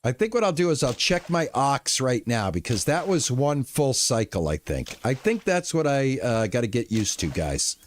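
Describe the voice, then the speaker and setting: A man streaming a farming game live, chatting to his viewers in a relaxed, lulling way. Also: medium pitch, moderate pace, hardly any pauses, slight background noise. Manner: relaxed, chatty, talks to chat. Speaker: gaming streamer. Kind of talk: live gameplay commentary.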